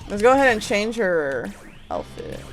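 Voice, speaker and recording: Excitable young woman gamer, animated pitch. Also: normal speed, vocal fry. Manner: excitable, sweary, repeats phrases. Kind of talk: casual gameplay commentary. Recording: game music behind the voice.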